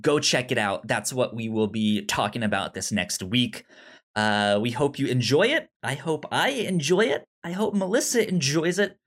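A man co-hosting a casual review podcast, talking in a halting way with some stammering. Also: mid-range voice, expressive, fairly bright tone. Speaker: podcast co-host.

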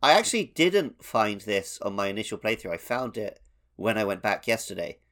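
A man's voice: lowish voice, very animated, normal speed, a bit bright. A man co-hosting a podcast, chatting casually about video games. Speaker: podcast co-host. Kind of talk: casual video game chat.

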